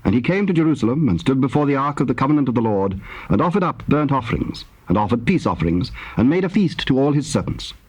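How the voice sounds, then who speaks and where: A man's voice: mid-range voice, big pitch swings, fast, darkish tone, breathy edge. A man narrating dramatized scripture in a stately, declamatory manner. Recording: faintly noisy old cassette tape.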